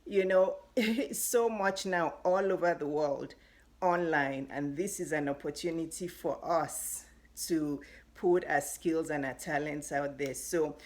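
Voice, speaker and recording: A woman's voice: deep voice, takes it slowly, a little mellow. A middle-aged woman with a Nigerian accent, giving casual motivational advice as a life coach, chatty and encouraging with light laughs. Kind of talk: casual motivational talk to camera.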